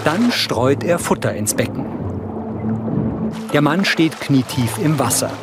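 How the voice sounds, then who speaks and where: A man reading scripted audio description for a TV crime series in a measured, matter-of-fact way. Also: a little high, lively intonation. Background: music behind the voice.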